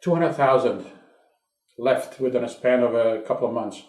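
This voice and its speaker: A man's voice: mid-range voice, darkish tone. Older Hungarian-born man, roomy home recording. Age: 60s. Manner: reflective, halting with restarts. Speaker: interviewee. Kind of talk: oral history interview. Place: his home.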